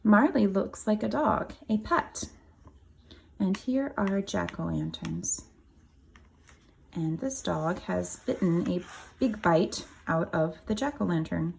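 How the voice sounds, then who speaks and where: A woman with a noticeably gravelly, creaky voice reads a picture book to kindergarteners in an unhurried way. She works like a teacher, pausing for simple explanations and speaking plainly to little listeners. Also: on the low side, lively intonation, a little mellow, a little hiss or hum. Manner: simple explanatory asides, spells out words for children. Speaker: kindergarten teacher reading aloud to young children. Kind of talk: animated picture-book read-aloud with asides.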